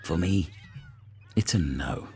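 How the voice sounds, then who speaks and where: Guy doing a super deep snooty judge, drags every word, picky about definitions. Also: expressive, slow pace, mellow, slight background noise, full character voice. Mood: disdainful. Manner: pedantic, disdainful. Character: pompous male talent show judge, grave and slow.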